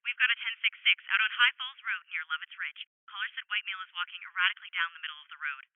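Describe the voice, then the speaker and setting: A police dispatcher over a thin, narrow radio channel. She talks very fast in a clipped, procedural way, all business, in an audio drama. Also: mid-range voice. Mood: businesslike. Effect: police radio filter.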